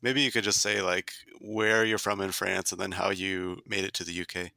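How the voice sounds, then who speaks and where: A man hosting a podcast, opening the show and then asking relaxed, somewhat hesitant interview questions. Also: sits low, moderate pace, gravelly, dry recording. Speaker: podcast host.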